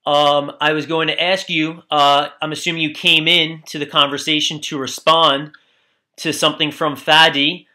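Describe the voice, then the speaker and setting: A slightly high-voiced man with a bright, clear tone runs the discussion casually. He keeps things friendly and upbeat between the two guests. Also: level tone, normal speed. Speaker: discussion moderator. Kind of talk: casual moderating. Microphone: video call.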